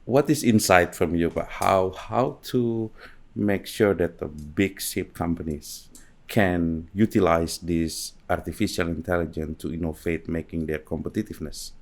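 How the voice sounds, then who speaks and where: A man's voice: low-pitched, moderate pace. A middle-aged man hosting a studio podcast interview, asking questions with hesitant fillers. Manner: hesitant, lots of fillers and listening murmurs. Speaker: host. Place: small studio set. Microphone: studio mic on boom arm, headphones.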